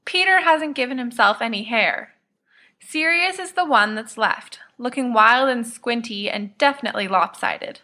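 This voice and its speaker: A woman's voice: on the high side, moderate pace, sharp tone. A woman reading scripted fiction aloud as an audiobook narrator. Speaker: podfic reader, narrator.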